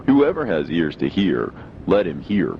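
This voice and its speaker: A man's voice: deep voice, sing-song, measured pace, highs cut off, slight background noise. A man reading scripture as scripted audiobook narration, in a solemn, declamatory manner. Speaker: reader of religious scripture.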